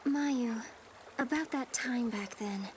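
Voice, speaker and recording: A woman voicing a soft, haunted teenage twin girl in a horror video game cutscene, speaking in a breathy whisper. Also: fairly high pitch, slow, full character voice. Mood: hushed wistful.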